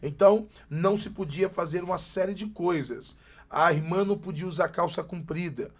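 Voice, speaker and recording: A man's voice: sits high, moderate pace. Emphatic São pastor in his forties. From Brazil, Greater São Paulo. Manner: emphatic, preacherly repetition. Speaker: pastor, podcast guest. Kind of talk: casual podcast chat.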